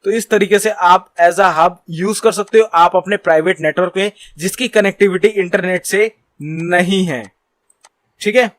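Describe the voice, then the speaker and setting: High-pitched man teaching. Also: a bit bright, no room sound. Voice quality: mixes Hindi with English technical terms. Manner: reads English points slowly and deliberately, then explains conversationally. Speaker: online course instructor. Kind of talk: screen tutorial lesson, explaining informally.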